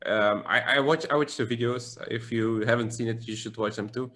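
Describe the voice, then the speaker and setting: A man with a Belgian accent co-hosting a relaxed live talk show. He reads viewer questions from the chat aloud. His voice sits at a middle pitch. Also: fairly quick. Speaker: podcast co-host.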